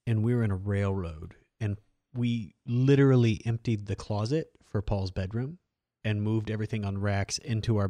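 Middle-aged man, lowish voice, relaxed joking host, dry close studio sound. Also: no echo. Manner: relaxed, jokey, asks quick questions. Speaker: interviewer, host. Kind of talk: casual conversation.

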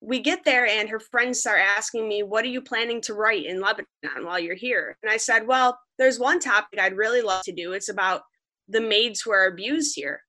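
Young woman, freelance journalist, earnest storyteller, bright forceful tone, mid-pitched. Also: normal speed. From Western New York. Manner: earnest, vivid storytelling, quoting others. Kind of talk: podcast interview, storytelling.

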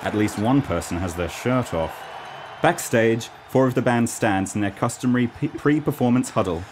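A man's voice: medium pitch, expressive, normal speed, darkish tone, slight background noise. A man narrating a scripted comedy radio play in a mock-serious storytelling manner.

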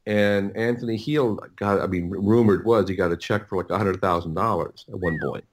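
A middle-aged man talking casually as an interview guest, in a halting, rambling way with many repeated words and restarts. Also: deep voice, a little hiss or hum. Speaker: interview guest, audiobook producer.

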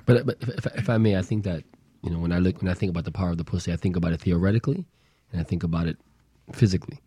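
A man's voice: low-pitched, racing, dark tone, slightly rough, no room sound. A man co-hosting a talk radio show, musing at length in a theorizing way. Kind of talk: candid talk radio chat.

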